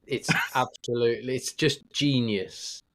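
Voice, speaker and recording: British man hosting, mid-pitched voice. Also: a little slow. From United Kingdom. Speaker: podcast host. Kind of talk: casual chat, anecdotal.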